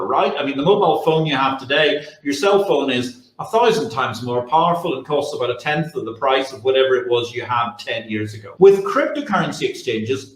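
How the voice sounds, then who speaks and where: A man answering interview questions as an expert guest, explaining things in an emphatic, rhetorical, conversational way. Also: on the high side. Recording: roomy, echoey sound.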